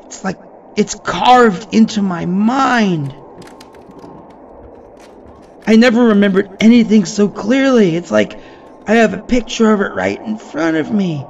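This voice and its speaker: An actor playing an anxious, self-doubting ordinary man facing horror in an audio drama, talking haltingly like someone leaving a recorded message. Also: high voice, lively intonation, gravelly, muffled recording, slight background noise. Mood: tense, determined. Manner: halting, nervous, conversational.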